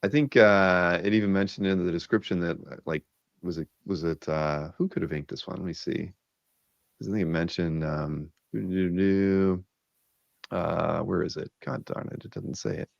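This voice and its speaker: A man hosting a casual video-call chat about art, rambling and enthusiastic with lots of fillers, a bit hoarse from a cold. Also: low-pitched, fairly even intonation, moderate pace, dry recording, muffled recording. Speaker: podcast host. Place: home office, video call.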